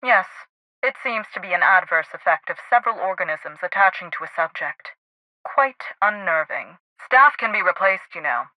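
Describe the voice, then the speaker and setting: An actress playing a pompous, high-strung head scientist in a video game, speaking formally with precise diction. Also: female voice, lively intonation, moderate pace, pushed and bright, no echo, rough recording. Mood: measured, condescending. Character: haughty, high-strung woman scientist heading a research lab. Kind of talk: video game character dialogue.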